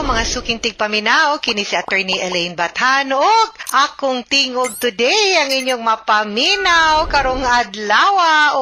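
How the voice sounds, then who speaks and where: A woman lawyer hosts a radio advice program in a conversational way, dropping in English words. Her voice is bright and forceful, and it sits somewhat high. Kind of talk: radio advice show hosting.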